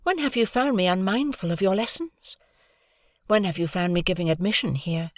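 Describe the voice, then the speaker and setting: A woman voicing a proud, composed young woman who speaks in a measured, cool way, in an audiobook reading. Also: mid-range voice, dry recording, studio quality, voice pushed up, lightly acted. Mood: defiant. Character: cold proud young woman, calm and reasoning.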